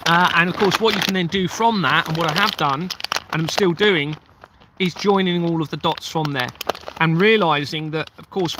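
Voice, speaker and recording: A man's voice: fairly high pitch, background noise. A man, hosting a casual livestream, reading out viewer comments and rambling earnestly while sometimes losing his train of thought. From Kent, southeast England. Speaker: livestream host, former police officer.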